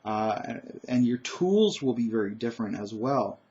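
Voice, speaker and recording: Loud, emphatic man giving opinions. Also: medium pitch, lively intonation, measured pace, dull audio. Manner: emphatic, opinionated, with frequent fillers and restarts. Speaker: gaming commentator. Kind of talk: solo opinion monologue.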